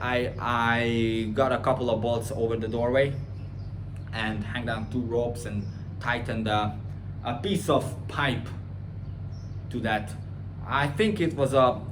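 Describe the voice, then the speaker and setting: A man with a Hungarian accent talks through viewer questions as a personal trainer. He is blunt and opinionated, jumping up in pitch and volume when he rants, then settling into a quieter, slower explaining tone. His voice sits in the middle range, with a faint hum of background noise. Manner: blunt and opinionated, rising loud and high when ranting. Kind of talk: casual Q&A monologue.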